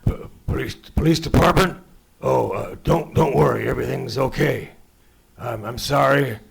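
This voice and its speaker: A man's voice: mid-range voice, vocal fry, slightly rough, full character voice. A man playing a furtive killer who stammers false reassurances in an old-time radio play. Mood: nervous, furtive. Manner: stammering, halting.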